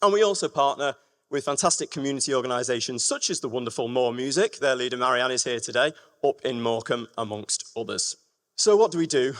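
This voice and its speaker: A man from gives a public presentation, addressing the room directly with emphatic phrasing. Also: mid-range voice. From Lancashire, England. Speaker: music education manager giving a talk. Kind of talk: public presentation to a live audience. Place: large reverberant room at a live event. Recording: echoey hall with long reverb.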